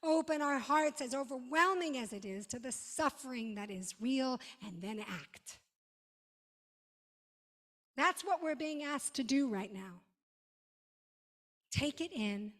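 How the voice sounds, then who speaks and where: A woman rabbi giving a sermon in an emphatic manner, with dramatic pauses and short repeated phrases. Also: on the high side, expressive, a bit bright. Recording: long reverberant hall.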